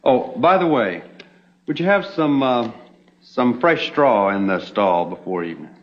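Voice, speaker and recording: A smooth young American man played by an actor works his pitch as a sly, genial promoter in an old TV western. His voice swings in an animated way, on a dull vintage soundtrack. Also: unhurried, close to an everyday voice. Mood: genial, casual. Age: young adult. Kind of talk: 1960s TV western acting.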